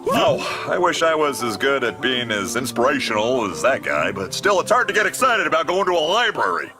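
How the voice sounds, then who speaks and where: A man's voice: medium pitch, sing-song, a little fast. An actor voicing a cocky, dim, whiny male adventurer in a video game cartoon, with a brash, exaggerated comic delivery. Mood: bored, dismissive. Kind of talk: animated video game cutscene acting. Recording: music behind the voice.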